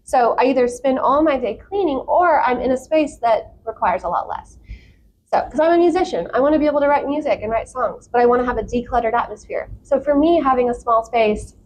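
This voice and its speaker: Female talk show guest, high voice, enthusiastic casual chat, faint noise in the background. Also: brisk. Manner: excitable, enthusiastic.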